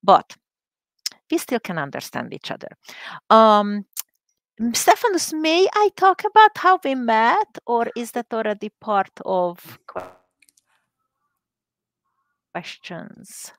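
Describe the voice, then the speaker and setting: A woman with a Hungarian accent talks as a guest on a casual podcast. Her voice sits fairly high, and she chats in a good-humored way. The sound is dry and close. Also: wildly swooping pitch, measured pace, dry recording. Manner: chatty and good-humored, laughs often. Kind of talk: casual chat.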